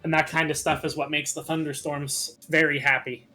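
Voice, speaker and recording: A man's voice: a little high, level tone, normal speed, pushed and bright. A man in casual, playfully insistent banter with friends as he co-commentates a video game. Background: game music.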